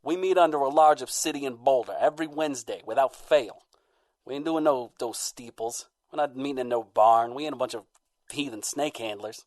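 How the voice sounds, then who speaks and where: A man's voice: medium pitch, moderate pace, a little mellow, no echo, close to an everyday voice. A man playing a defensive, shifty backwoods preacher with folksy rural speech, for an audio comedy.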